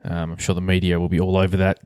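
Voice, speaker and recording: The low-voiced bloke steering a sports podcast from a well-deadened room, thinking out loud and ribbing his co-host. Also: monotone, fast, smooth, no room sound, clean studio sound. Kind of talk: casual sports chat.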